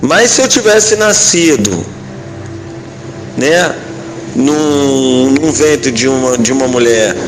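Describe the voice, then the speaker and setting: Higher-pitched man teaching over clear noise. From Israel. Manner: didactic, halting, often seeks agreement with tags. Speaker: rabbi, guest teacher. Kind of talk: informal religious teaching. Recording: clearly noisy, vehicle-like background sound.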